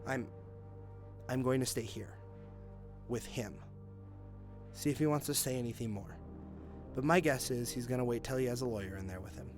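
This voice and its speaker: An actor playing an authoritative, earnest police detective in an audio drama, with a probing manner. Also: male voice, medium pitch, normal speed, pauses often, over music, close to an everyday voice. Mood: firm, in charge. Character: male police detective, Hazel's superior and former partner.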